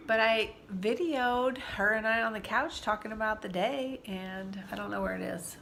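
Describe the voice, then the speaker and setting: A woman in her forties, stuffed up from allergies, talking softly and confidingly in a personal vlog, sometimes dropping to near-whispers. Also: medium pitch, moderate pace, gravelly, slight background noise. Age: 40s. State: congested from allergies. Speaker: vlogger. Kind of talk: reflective personal vlog talk. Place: sitting indoors at home.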